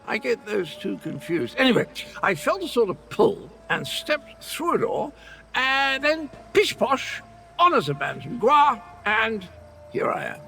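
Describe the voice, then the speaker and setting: An actor playing a whimsical, muddled mystic in a fantasy video game, with a theatrical, rambling, airy manner. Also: male voice, sits high, big pitch swings, a little slow, creaky, sharp tone, slight background noise, heavily acted. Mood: cheerfully muddled. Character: whimsical, dotty male portal-hopping mystic, amiably muddled. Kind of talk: video game character acting.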